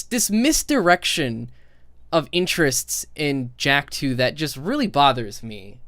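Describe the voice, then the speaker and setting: A man's voice: fairly high pitch, fairly bright tone, dry recording. A man giving casual, opinionated video commentary as a game critic, informal and emphatic.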